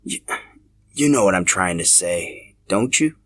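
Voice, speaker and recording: A man's voice: sits low, animated, measured pace. A man voicing a shy, brooding young man in a narrated story, hesitant and confiding. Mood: tender, hesitant.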